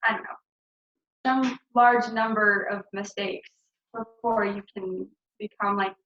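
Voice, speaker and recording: A woman's voice: mid-range voice, fairly bright tone. A young woman chatting casually over a video call, her talk loose and full of ums, likes and small laughs. The sound is roomy and echoing, and the audio is dull and muffled. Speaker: returned missionary speaker.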